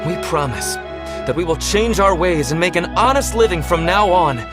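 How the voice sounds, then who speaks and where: A man's voice: high voice, animated, moderate pace. A man voicing a humble, grateful poor young man in a video game, earnest and deferential. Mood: humble, resolved. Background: game music.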